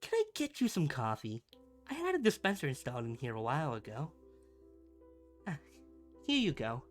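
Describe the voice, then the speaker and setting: A man's voice: medium pitch, huge pitch swings, moderate pace, soft background music. A man playing a pompous, melodramatic supervillain in a scripted ASMR roleplay, a bumbling rival who slips between grand speeches and chatty asides. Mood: chatty, concerned. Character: theatrical, bumbling supervillain, gloating yet secretly caring rival.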